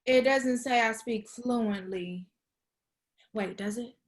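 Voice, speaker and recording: Casting: actress for awkward, eager young woman, lightly high voice, hesitant delivery, table-read drama. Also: slow. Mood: defensive. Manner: hesitant, repeats herself. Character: awkward, eager young woman fibbing her way through job hunt.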